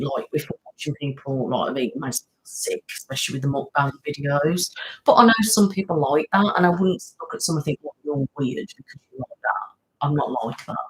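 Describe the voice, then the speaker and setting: A woman's voice: extremely low pitch, low-quality recording. Chatty British woman host. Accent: British English. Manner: chatty, rambling, many fillers and restarts. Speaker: ASMR channel host. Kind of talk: casual live-stream chat reading viewer comments.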